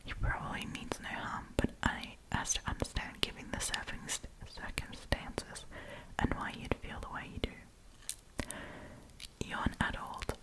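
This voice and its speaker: A woman telling stories in a breathy ASMR whisper, with chatty, playful, slangy commentary. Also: a little slow, low-quality recording. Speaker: ASMR storytime host.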